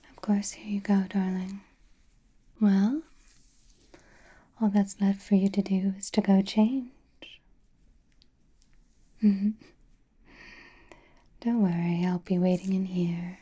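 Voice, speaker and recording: A woman playing a centuries-old aristocratic vampire lady in a whispered ASMR roleplay, doting, possessive and softly teasing, with refined manners and a breathy voice. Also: medium pitch, flat delivery, takes it slowly, long pauses. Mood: possessive, fond.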